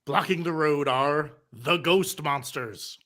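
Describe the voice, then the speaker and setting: Male narrator for scripted table read: slightly high, bright, dramatic delivery. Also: takes it slowly.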